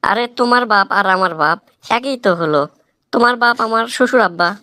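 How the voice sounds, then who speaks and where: A woman playing a placating, boastful husband in a comic cartoon, coaxing and flattering with a rural Bangladeshi accent. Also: fairly high pitch, brisk, smooth, dry recording. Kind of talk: melodramatic comic cartoon dialogue.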